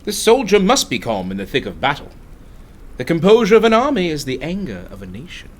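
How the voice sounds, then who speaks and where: An actor playing an earnest, cultured plainclothes detective in a radio play, speaking formally and emphatically. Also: male voice, on the high side, wildly swooping pitch, brisk. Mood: composed. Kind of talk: dramatic radio dialogue.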